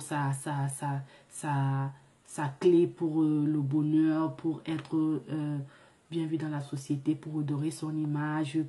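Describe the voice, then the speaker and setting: Low, mellow-voiced woman with the flu. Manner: halting, many fillers and restarts; earnest and reflective. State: sick with the flu. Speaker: vlog host. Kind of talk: casual vlog monologue.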